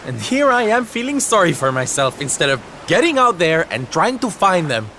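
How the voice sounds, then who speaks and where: A man's voice: high voice, big pitch swings, moderate pace, sharp tone, a little hiss or hum, full character voice. A man playing a flamboyant, tender-hearted seafaring hero in a video game, with a camp, theatrical manner. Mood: rueful, rallying. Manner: theatrical, camp, fond endearments. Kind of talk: video game cutscene acting.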